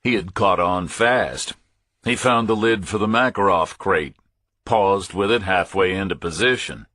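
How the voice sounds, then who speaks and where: A man reading scripted first-person thriller narration in a measured, hard-boiled way. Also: low-pitched, pushed and bright, no room sound, clean studio sound. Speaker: audiobook narrator.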